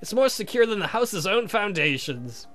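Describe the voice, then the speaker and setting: A man acts out a fussy old uncle from a visual novel game, breezy and dismissive as he argues. His voice is high and bright, with lively movement in pitch. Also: moderate pace, faint music behind. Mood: reasoning.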